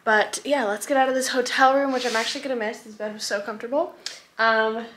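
A woman's voice: on the high side, steady tone, a bit bright, a little hiss or hum. Young woman, 20s, casual vlogger, upbeat and chatty, a touch tired, camera at arm's length in a small room. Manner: chatty, upbeat, quick asides and laughs. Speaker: cruise ship dancer vlogger. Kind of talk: casual vlog talking to camera. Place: hotel room, then small ship cabin. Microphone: camera on a tripod or held at arm's length.

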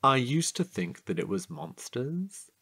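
A man acting a chatty, curious night-watch guard in a naturalistic audio drama, husky and halting in a playful way. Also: mid-range voice, very animated, fairly bright tone, no echo, exaggerated voice. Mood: musing, conspiratorial.

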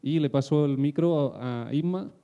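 A man introducing himself informally and a little haltingly on a panel. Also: a little high, warm tone, highs cut off, slight background noise. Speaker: panelist, technical artist. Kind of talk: informal self-introduction at a talk. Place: small theatre stage, panel table. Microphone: handheld microphone.

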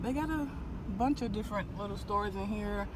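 A young American woman, a beauty vlogger, chatting casually to camera in a playful, slangy, expressive way. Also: mid-range voice, moderate pace. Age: young adult. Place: inside a car. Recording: car cabin noise.

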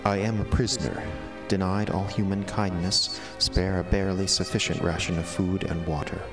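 An actor playing an eloquent, melodramatic cat who sees himself as a prisoner, speaking in formal, florid, theatrical monologue. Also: male voice, deep voice, level tone, normal speed, recorded from a distance. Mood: grave, bitter. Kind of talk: dramatic first-person audio drama monologue. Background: music.

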